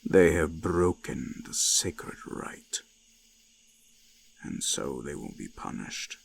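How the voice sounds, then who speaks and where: Gravelly, very deep ancient forest god. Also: male voice, big pitch swings, slight background noise, heavily acted. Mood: grave, menacing. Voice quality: gravelly, breathy whispers. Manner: slow, hushed, archaic, commanding, often dropping to whispers. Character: ancient male eldritch forest god, menacing yet seductive. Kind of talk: scripted roleplay audio, slow dramatic monologue.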